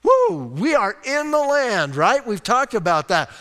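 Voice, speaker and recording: A male pastor preaching a conversational, emphatic sermon. He asks rhetorical questions and reads scripture slowly and deliberately. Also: very high voice, very animated, fairly bright tone. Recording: reverberant hall sound.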